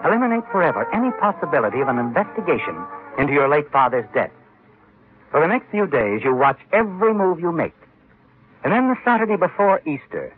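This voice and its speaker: An American actor as an ominous narrator of an old radio suspense drama, addressing the heroine directly. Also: male voice, on the high side. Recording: tinny old radio recording.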